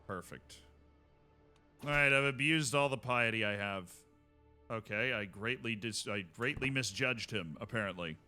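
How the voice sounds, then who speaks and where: A man's voice: medium pitch, normal speed, bright, forceful tone, soft background music. A man streaming a strategy game, chatting casually with wry asides to himself.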